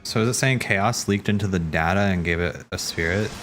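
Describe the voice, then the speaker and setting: A man's voice: sits low, fairly quick, a bit bright, faint music behind. A man streaming casual watch party commentary, reacting in a musing, tentative way.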